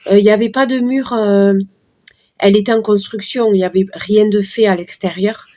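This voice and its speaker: A middle-aged woman with a hoarse morning voice, chatting casually to her viewers as she reads tarot cards. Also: medium pitch, fairly even intonation, moderate pace, dark tone. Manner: chatty, talks to viewers while shuffling cards. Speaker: card reader. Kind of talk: casual card-reading livestream talk.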